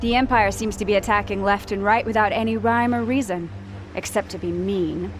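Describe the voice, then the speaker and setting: A woman's voice: medium pitch, fairly even intonation, brisk, soft background music, lightly acted. An actress voicing a sardonic, impatient female warrior in a video game, dry and sarcastic. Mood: dry sarcasm. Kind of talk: game cutscene acting.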